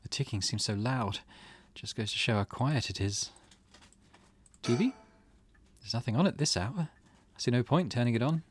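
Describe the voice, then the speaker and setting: A man's voice: medium pitch, normal speed, slight background noise, natural voice. A man voicing a weary, grieving middle-aged mother from a visual novel, reading her old-fashioned, wistful thoughts aloud. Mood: weary, uneasy.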